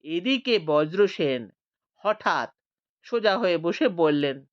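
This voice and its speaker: A woman's voice: on the low side, animated, takes it slowly, no echo, highs cut off. A woman narrating a scripted audiobook story, voicing the village characters expressively.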